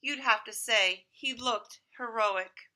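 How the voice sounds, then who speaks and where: A woman reading a storybook aloud as a teacher to pupils, expressive and dramatic in her storytelling. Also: a little high, takes it slowly, poor audio. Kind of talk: animated storybook read-aloud.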